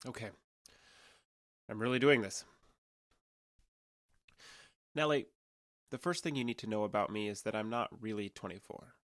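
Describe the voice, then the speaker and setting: A man's voice: lowish voice, a little fast, fairly bright tone, no room sound, studio quality, close to an everyday voice. An American man playing a guarded, sincere alien who passes for a young adult, explaining himself hesitantly in a naturalistic audio drama. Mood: hesitant, earnest.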